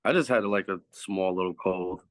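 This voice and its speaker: Easygoing guy from long island, lowish voice, laughs easy. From Long Island, New York. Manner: laid-back, easygoing, quick to laugh. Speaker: podcast guest. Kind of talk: casual chat.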